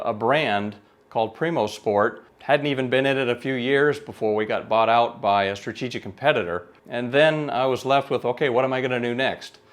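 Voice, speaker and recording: A man in his forties lays out business details in a measured, businesslike tone. He speaks to camera as the manager of a small company, steady and matter-of-fact. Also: medium pitch. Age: 40s. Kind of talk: pitch video talk to camera.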